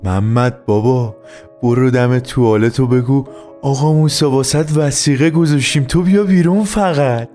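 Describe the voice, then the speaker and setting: A man voicing a mocking middle-aged father in a comic story, taunting with drawn-out words. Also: mid-range voice, warm tone, soft background music, lightly acted. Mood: teasing.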